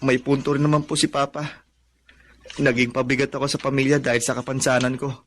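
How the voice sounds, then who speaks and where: An actor playing a humble, hopeful young son in a radio drama, speaking politely and sincerely. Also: male voice, medium pitch, level tone, fairly quick, background noise, lightly acted. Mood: earnest, resolved. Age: young adult.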